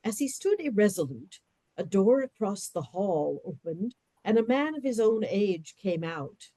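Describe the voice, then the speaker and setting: A woman narrating a radio play in a measured storybook reading. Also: mid-range voice, sing-song, dark tone, no echo.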